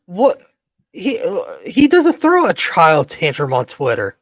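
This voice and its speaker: A man hosting a podcast, bantering in an excitable, joking way and swearing freely. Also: high voice, slow, no room sound, studio quality. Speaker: podcast host. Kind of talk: casual profane podcast banter.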